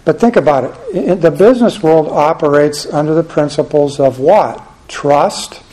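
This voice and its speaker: Soft-spoken man teaching a Bible class. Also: fairly high pitch, poor audio. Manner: soft-spoken, halting with many fillers. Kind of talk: church class teaching with prayer.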